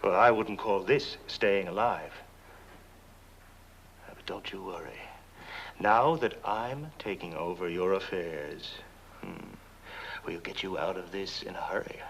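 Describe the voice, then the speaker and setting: An actor playing a suave, scheming lawyer in horror-comedy film dialogue, with theatrical emphasis and hesitant murmurs. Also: male voice, sits low, a little slow, long pauses, fairly bright tone, slight background noise, low-quality recording. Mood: smooth, insinuating. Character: suave, scheming male lawyer wooing a young widow.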